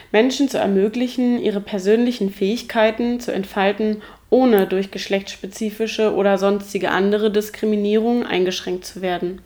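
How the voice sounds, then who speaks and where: A woman narrating a documentary feature in a clear, even reading voice. Her pitch sits mid-range, neither high nor low, and she poses rhetorical questions as she reads.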